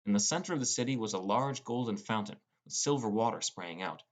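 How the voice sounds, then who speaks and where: Low-voiced man narrating an audiobook. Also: lively intonation, fairly quick. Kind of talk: scripted first-person fiction narration.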